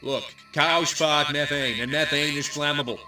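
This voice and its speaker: An actor playing a glib, shifty, pompous American small-town mayor, speechifying in a comic video game cutscene. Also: male voice, a little high, steady tone, normal speed, bright, forceful tone. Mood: glib, evasive. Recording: distant microphone, music behind.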